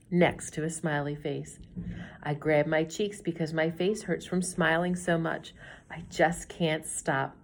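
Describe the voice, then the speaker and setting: A middle-aged woman teacher reading a children's chapter book aloud in a gentle, storytelling manner. Her voice sits a little low, and she drops to a whisper on quiet lines.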